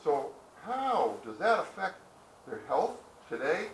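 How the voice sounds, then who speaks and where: A man's voice: fairly high pitch, sing-song, slow. A middle-aged man giving a casual, rambling talk to a small audience, full of restarts and asides. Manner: rambling, folksy, many restarts. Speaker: presenter. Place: outdoor historic site with listeners. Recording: roomy, distant mic with background noise.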